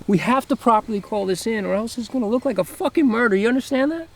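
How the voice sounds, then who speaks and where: A man's voice: very high voice, fast, gravelly. An actor playing a righteous young man who speaks in an accusing, cutting way. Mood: desperate. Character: righteous young man named Adam, bitter.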